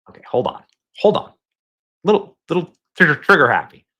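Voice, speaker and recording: A man hosting a live political talk show from a phone, excitable and rambling, often repeating himself. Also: on the high side, brisk, breathy edge, muffled recording, faint music behind. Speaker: host.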